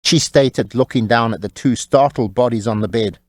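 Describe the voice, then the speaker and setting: A man narrating a scripted audiobook story in a measured, wry storytelling manner. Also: mid-range voice, dry recording.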